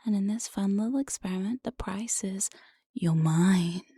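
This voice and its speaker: A woman playing an evil, playful demon jailer in an ASMR roleplay, breathy and often whispering, with a sly, mock-sweet menace, close on the microphone. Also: medium pitch, normal speed, mellow, no echo. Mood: gloating, scheming. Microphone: close binaural studio microphone, dry.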